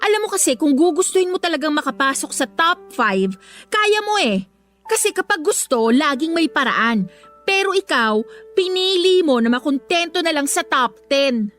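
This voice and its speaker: Very high-voiced, hurt teenage schoolgirl. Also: very animated, normal speed, fairly bright tone, soft background music. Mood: indignant. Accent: Filipino. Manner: emotional, reproachful. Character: hurt, earnest teenage schoolgirl, an overachiever defending herself to her best friend. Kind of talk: Filipino radio drama acting.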